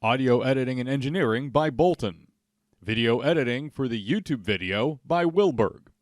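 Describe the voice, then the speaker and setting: A man's voice: mid-range voice, animated, moderate pace, no room sound, studio quality. A man reading scripted credits as the announcer of an audio drama.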